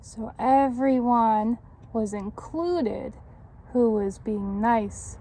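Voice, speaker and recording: A woman reads a picture book aloud to children as a tutor would. Her voice is fairly high and she takes her time, stopping to instruct and question her young listeners. There is some background noise. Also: very slow, dark tone.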